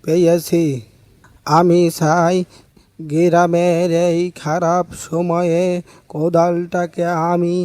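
A man playing a kindly, secretive village uncle in a cartoon fairy tale, speaking in a measured, instructive way. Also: on the high side, completely flat delivery, a little mellow, no echo. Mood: earnest, cautioning. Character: kindly village uncle, secretive, wise keeper of a magic spade. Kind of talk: animated fairy-tale dialogue.

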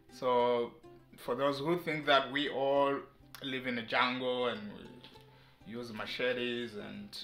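A man's voice: mid-range voice, pushed and bright. A man in his thirties from eastern Congo, whose first language is Mashi, talking casually to camera with frequent laughs and amused disbelief. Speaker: vlogger. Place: indoor living room with shelves. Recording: echoey room, faint music.

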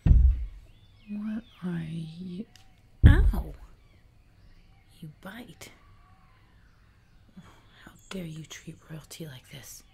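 A woman's voice: lowish voice, drawn-out words, creaky, pauses often, mellow, heavily acted. A young woman playing a haughty, menacing jungle giantess queen in a whispered, condescending ASMR roleplay, close to the mic. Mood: haughty, suspicious. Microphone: close mic in front of camera. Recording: close mic with audible background noise.

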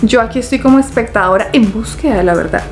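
A young Colombian woman with a Santander accent, hosting a casual reaction video from her living room and talking in a chatty, animated way with frequent laughs. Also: on the high side, fast, soft background music. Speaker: YouTube reactor. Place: living room, indoors, on a sofa.

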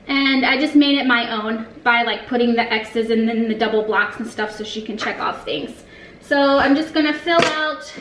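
A woman's voice: fairly high pitch, a bit bright, a little hiss or hum. A woman in her thirties, a family vlogger, chatting casually to the camera in her kitchen with plenty of ums and uhs. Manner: chatty, loose, with fillers like um and uh. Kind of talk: casual vlog narration to camera. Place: kitchen of a family home, indoors. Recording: echoey room with faint music.

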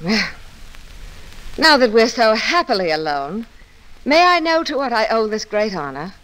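A proud, willful young lady of the moors in an old-time radio play. Her voice is high and swings widely in pitch. She speaks with theatrical, volatile passion. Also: sing-song, a little slow, a bit bright, poor audio. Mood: haughty, scornful. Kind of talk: 1940s radio drama acting.

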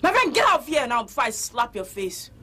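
Bossy boutique owner, quick, high and lively speech, faint room noise. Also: female voice. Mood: scolding. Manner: apologetic to customer, scolding to staff.

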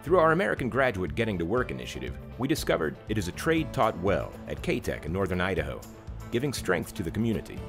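A man giving solemn, deliberate scripted narration. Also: fairly low pitch, huge pitch swings, vocal fry, music in the background.

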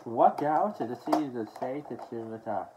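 A young adult man from Australia talking haltingly and with mumbled words in a casual show-and-tell to camera at home. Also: mid-range voice, expressive. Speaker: collector showing his media haul. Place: small furnished room at home, indoors. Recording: dull lo-fi sound with background noise.

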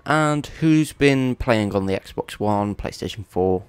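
Stuffy-nosed gamer lad rambling. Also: male voice, on the low side, lively intonation, takes it slowly, clean tone. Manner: rambling, chatty, swears at opponents. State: congested with a cold. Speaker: gaming YouTuber. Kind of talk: casual gameplay commentary.

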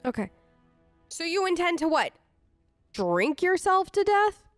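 Upbeat girl gamer roleplaying casually, high voice, super dry mic, chatty. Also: sing-song, unhurried, no room sound, faint music behind. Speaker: let's player role-playing her character. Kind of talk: improvised in-character game dialogue, casual.

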